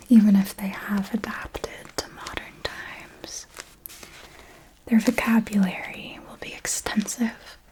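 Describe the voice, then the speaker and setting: A woman acts out an elegant vampire mentor for an ASMR roleplay. She whispers intimately at a very slow, deliberate pace, close to the mic. Her phrasing is formal, with a possessive fondness and calm command. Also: medium pitch, lightly acted, low-quality recording. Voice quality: breathy whisper. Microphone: close microphone.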